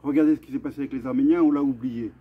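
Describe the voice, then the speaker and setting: A man of medium pitch speaks as host in an earnest, commemorative address. His voice is somewhat husky and he goes deliberately, pausing and repeating himself. The recording is dull and lo-fi. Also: steady tone. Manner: deliberate, with halting pauses and repetitions. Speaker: video host and association vice-president. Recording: dull, muffled lo-fi sound.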